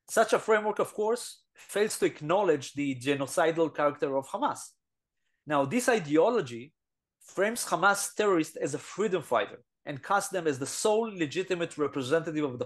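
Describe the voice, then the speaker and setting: A middle-aged man with an Israeli accent, giving an earnest webinar talk from a small room over a headset, with many fillers and restarts. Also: a little high. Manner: earnest, emphatic lecturing with frequent fillers and restarts. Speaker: guest lecturer. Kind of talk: webinar presentation. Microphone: headset on a video call.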